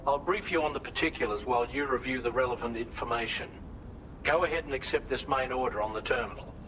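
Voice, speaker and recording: An actor playing a composed operations officer, giving measured, instructive mission briefings in a video game. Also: male voice, mid-range voice, noisy background, lightly acted.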